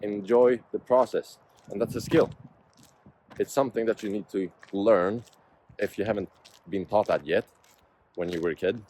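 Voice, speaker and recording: A man's voice: on the low side, normal speed, long pauses, dark tone, slight background noise. A man, a vlogger musing aloud in casual talk about life and goals. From Sweden. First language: Swedish. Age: 20s. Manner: reflective, musing, self-motivational. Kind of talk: casual vlog talk to camera.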